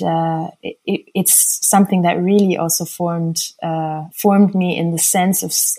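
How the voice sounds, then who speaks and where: A middle-aged woman with a mixed German and American accent, giving thoughtful, hesitant interview answers with many pauses. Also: lowish voice, a little mellow. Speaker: interview guest.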